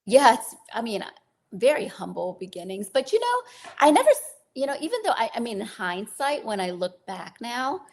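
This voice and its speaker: A woman's voice: on the high side, sing-song, moderate pace, a little mellow. A woman interview guest speaking informally and telling personal stories in a casual, conversational way. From Vietnamese refugee family, raised in Germany and the US. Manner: informal, often says "you know" and "right".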